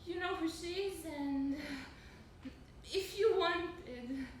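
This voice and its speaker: Woman acting a high, frightened, pleading woman. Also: heavily acted. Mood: nervous pleading. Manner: halting, stammering, anxious. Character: frightened, pleading woman complicit in a trafficking scheme. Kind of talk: live stage play acting. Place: indoor stage of a live theatre performance. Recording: echoey theatre, distant mic, faint noise.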